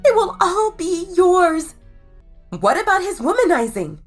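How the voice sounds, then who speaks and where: A young female werewolf, chatty and persuasive, who teases and flatters her friend. The voice sits high and swoops about lively. Soft music hums behind her in this audio drama. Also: unhurried, exaggerated voice. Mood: coaxing. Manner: eager, persuasive, teasing. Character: gushing young woman werewolf, the bride's friend.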